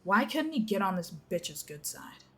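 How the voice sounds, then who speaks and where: A woman's voice: lowish voice, very animated, measured pace, slightly rough. A young woman narrating a dramatic reading of a fan-fiction story. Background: music.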